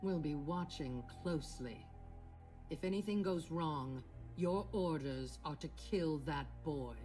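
A woman voicing a cold, ruthless military general in a video game cinematic, with deliberate delivery. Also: sits low, warm tone, soft background music. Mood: cold menace.

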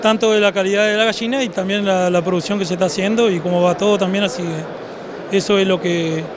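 A middle-aged man with an Argentine Spanish accent, a cooperative member interviewed at a trade fair, speaking haltingly with many restarts. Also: sits high, fairly even intonation. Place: indoor exhibition hall. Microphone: handheld interviewer microphone. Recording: news camera at noisy expo.

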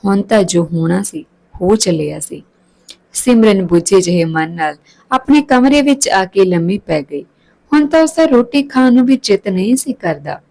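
A woman reading a scripted story as a storyteller, with expressive, literary delivery. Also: medium pitch, gravelly, poor audio.

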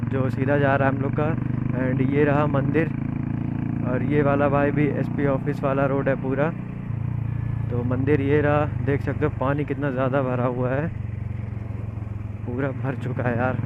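A young man, chatting casually to viewers as he rides his motorbike, his voice slightly off from feeling unwell. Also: medium pitch. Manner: chatty, keeps calling viewers brother. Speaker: motovlogger. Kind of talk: casual running commentary while riding. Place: on a motorcycle on open roads. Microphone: helmet action camera mic. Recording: muffled lo-fi with road and engine noise.

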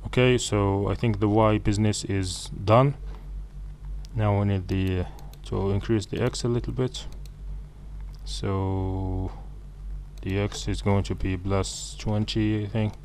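Man, Arabic first language, coding tutorial voice-over, lowish voice, halting with fillers, faint hiss. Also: little pitch movement, a little mellow. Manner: halting, many fillers and self-corrections. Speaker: programming tutor. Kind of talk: screen-recorded coding tutorial.

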